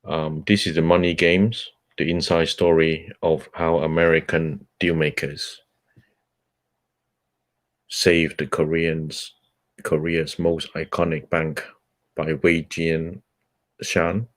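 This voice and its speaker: Very deep-voiced slow man, native Cantonese. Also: flat delivery. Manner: measured, halting with fillers between phrases. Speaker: book club host. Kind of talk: reading a nonfiction book aloud.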